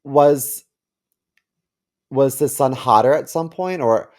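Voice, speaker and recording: Halting, casual podcast talker, sex unclear. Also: mid-range voice, a little mellow. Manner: hesitant, halting while thinking aloud.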